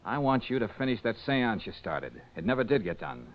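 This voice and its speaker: An actor playing a tough, confident, sardonic private detective in an old-time radio drama, slangy and commanding, on a muffled recording. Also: male voice, mid-range voice, lively intonation, brisk, close to an everyday voice. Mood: sardonic, pressing.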